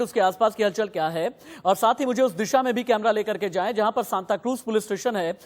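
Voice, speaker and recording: A male news anchor with an insistent, emphatic delivery, directing a live broadcast. He repeats his demands and questions firmly. The sound carries a long reverb. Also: sits high.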